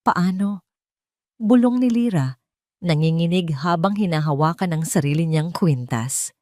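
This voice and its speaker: A woman's voice: low voice, lively intonation, moderate pace, mellow, no room sound, studio quality. A woman reading a scripted dramatic story as its narrator.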